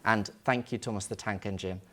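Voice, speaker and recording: A man's voice: on the low side, steady tone, brisk, sharp tone, slight background noise. Earnest man speaking as a museum curator. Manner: earnest and reflective, rhetorical questions. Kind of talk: panel talk.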